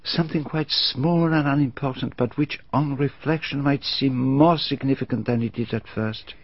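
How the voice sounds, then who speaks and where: An actor playing a fastidious detective, asking formal, polite questions in a radio drama. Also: male voice, mid-range voice, breathy edge, no room sound. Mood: courteous. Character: fastidious middle-aged male detective, probing. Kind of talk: BBC radio drama acting. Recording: dull archival radio recording.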